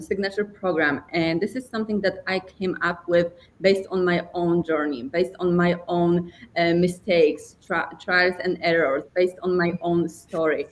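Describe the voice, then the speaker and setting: A woman's voice: fairly low pitch, a little hiss or hum. A woman from Poland with a Polish accent, speaking as an earnest motivational guest and building points with repeated phrasing. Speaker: guest speaker, mindset coach and company founder. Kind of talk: earnest motivational interview talk.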